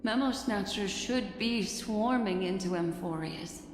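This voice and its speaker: Woman playing a slow, enigmatic, contemplative investigator. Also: mid-range voice, slight background noise, lightly acted. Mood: pensive. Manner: measured, contemplative, cryptic. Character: composed, enigmatic woman investigator musing alone. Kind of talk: video game voice acting. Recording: echoey reverberant space, music behind.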